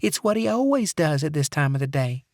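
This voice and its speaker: A woman's voice: deep voice, big pitch swings, a little fast, a little mellow, dry recording, studio quality, voice pushed up. A man voicing a saccharine Southern receptionist woman in her fifties in an audiobook. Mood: sugary. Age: 50s. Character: sweet chatty middle-aged Southern receptionist woman.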